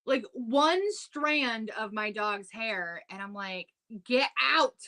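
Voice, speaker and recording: A woman in her thirties chatting loosely on a podcast, her voice bright and forward. She rambles through her stories, filling gaps with likes and ums. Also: a little high, slow pace. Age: 30s. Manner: chatty, storytelling with lots of "like" and "um". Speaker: podcast co-host. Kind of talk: casual chat.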